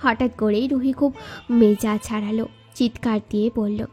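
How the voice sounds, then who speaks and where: A woman's voice: a little high, steady tone, moderate pace, darkish tone, husky. A woman narrator reading a scripted romantic story. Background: background music.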